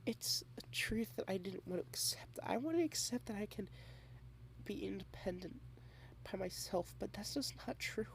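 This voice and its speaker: Shy, half-whispering young woman with vocal fry. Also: mid-range voice, lively intonation, rough recording. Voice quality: soft, often half-whispered. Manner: shy, rambling and halting, with many repeated starts. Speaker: ASMR roleplay creator. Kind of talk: ASMR girlfriend roleplay.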